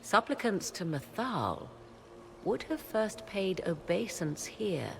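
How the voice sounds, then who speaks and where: An actress playing a haughty, scholarly sorceress in a video game, speaking in formal, archaic, imperious phrases. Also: female voice, mid-range voice, sing-song, takes it slowly, creaky, a little hiss or hum, heavily acted. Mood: studious, absorbed. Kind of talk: dramatic video game acting.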